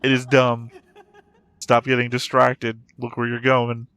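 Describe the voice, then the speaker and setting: A man with an average-pitched voice reacts casually to a show as he watches. He makes wry, nitpicking remarks in a conversational way, with music from the show playing behind him. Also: completely flat delivery, measured pace, fairly bright tone, clean tone. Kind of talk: casual reaction commentary over an animated show. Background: soundtrack of the show being watched.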